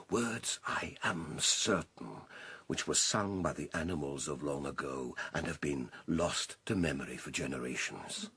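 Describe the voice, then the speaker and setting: An actor playing an old boar, a hoarse and solemn visionary leader making speeches to farm animals in a radio drama. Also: male voice, very deep voice, fairly bright tone, no echo, exaggerated voice. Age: older. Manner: solemn, rhetorical, deliberate.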